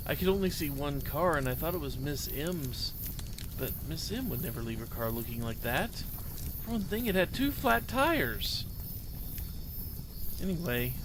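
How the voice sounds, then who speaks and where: A man's voice: a little high, noisy background. A man voicing a scared, lonely, hungry little boy in a dramatic story reading, with an earnest, hesitant, childlike manner. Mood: hungry, subdued.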